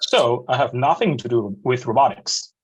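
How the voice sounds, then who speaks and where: A man in his thirties from Taiwan with a Taiwanese accent, giving a slide talk to students over a video call, halting with many fillers and restarts. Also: medium pitch, slightly rough, low-quality recording. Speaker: guest speaker.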